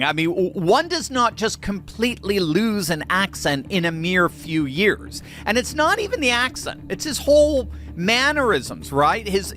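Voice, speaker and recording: Animated, mocking male commentator. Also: high-pitched. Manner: sarcastic, mocking, laughs between lines. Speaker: political commentator. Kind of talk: commentary on clips.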